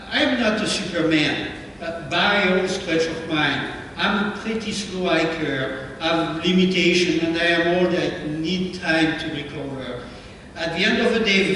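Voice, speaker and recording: A man in his seventies from France with a French accent, giving a club slideshow talk in a plain, halting way. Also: on the high side, fairly bright tone, a little hiss or hum. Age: 70s. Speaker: guest presenter. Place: meeting room. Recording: echoey hall, distant mic.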